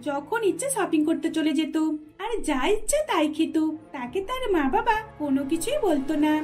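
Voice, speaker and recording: A woman's voice: very high voice, darkish tone. A woman narrating a scripted cartoon story.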